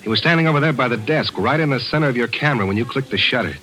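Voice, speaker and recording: An actor playing a wisecracking reporter who also narrates, in a 1940s radio drama, wry and sardonic. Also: male voice, mid-range voice, lively intonation, bright, forceful tone, rough recording. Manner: wry, sardonic, fast-talking.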